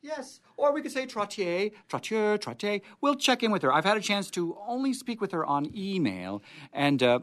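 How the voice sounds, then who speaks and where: Radio host guy, gushy and theatrical, voice kinda high and swoopy, dry sound. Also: very animated, normal speed, fairly bright tone, no echo. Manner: theatrical, effusive, repeats words. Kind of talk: unscripted on-air chat.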